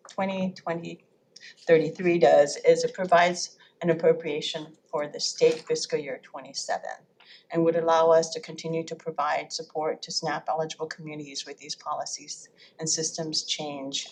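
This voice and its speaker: A woman's voice: sits low, level tone, normal speed, darkish tone, a little hiss or hum, poor audio. A woman with a Japanese accent giving formal testimony at a legislative hearing, with frequent fillers and self-corrections.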